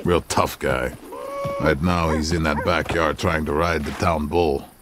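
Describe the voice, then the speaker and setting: A man's voice: a bass voice, fairly even intonation, unhurried, fairly bright tone, a little hiss or hum. An actor playing a cocky, easygoing Wild West outlaw in a video game, drawling and dropping Spanish words into his English. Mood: plotting. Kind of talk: video game cutscene acting.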